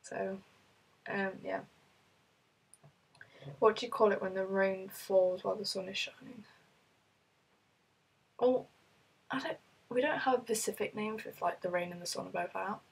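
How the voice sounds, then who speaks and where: A British woman talks casually to her camera, rambling and restarting with plenty of fillers. Her voice sounds rough from being ill, very husky with a gravelly edge, and her pitch sits in an ordinary middle range. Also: steady tone, normal speed, pauses often, poor audio. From United Kingdom. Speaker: vlogger. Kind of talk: casual chat answering a question list.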